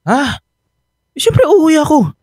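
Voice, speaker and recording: A man's voice: extremely high pitch, sing-song, unhurried, a little mellow, no room sound, clean studio sound, full character voice. A male actor playing a troubled, defensive unfaithful young husband in a radio drama, both narrating and in dialogue. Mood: evasive, irritated. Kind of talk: Filipino radio drama acting and first-person narration.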